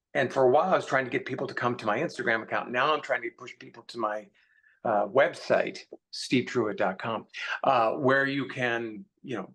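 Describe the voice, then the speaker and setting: A man with a mid-pitched voice talks casually as an interview guest. He rambles through his thoughts in a joking, self-deprecating manner. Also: fairly bright tone. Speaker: author guest. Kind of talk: casual conversation.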